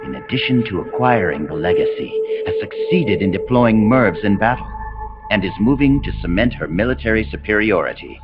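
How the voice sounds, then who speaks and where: Deep, imperious video game villain. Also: male voice, sing-song. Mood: calm, lecturing. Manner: measured, imperious, philosophical monologuing. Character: cold, commanding male rogue officer, grandiose engineered super-soldier. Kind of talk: dramatic video game voice acting. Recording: dull compressed game audio under loud music.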